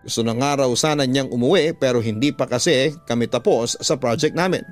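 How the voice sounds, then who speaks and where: A man's voice: mid-range voice, a little fast. A man with a Filipino accent narrating a scripted story in a dramatic radio storytelling style. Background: background music.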